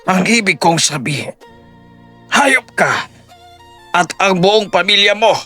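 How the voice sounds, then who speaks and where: Man playing a high-voiced, stern old rich father. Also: lively intonation, sharp tone, soft background music, exaggerated voice. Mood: cold fury. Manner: controlled, menacing, deliberate. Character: stern, wealthy old don, vengeful protective father. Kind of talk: Filipino audio drama acting.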